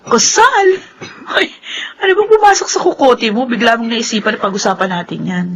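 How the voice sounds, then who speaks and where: A woman's voice: on the high side, big pitch swings, fairly quick, husky, full character voice, poor audio. An actress playing a vengeful, defiant young woman in a Filipino radio drama, with a Filipino accent. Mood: annoyed.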